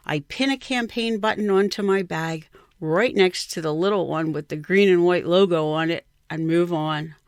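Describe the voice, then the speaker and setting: Low-voiced woman reading a personal story. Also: moderate pace, dry recording, clean studio sound. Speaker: writer or reader of a short story.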